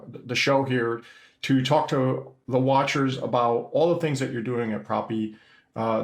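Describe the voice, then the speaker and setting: Gravelly man interviewing, echoey room. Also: medium pitch. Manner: hesitant, restarts often, summarizing. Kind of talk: business interview.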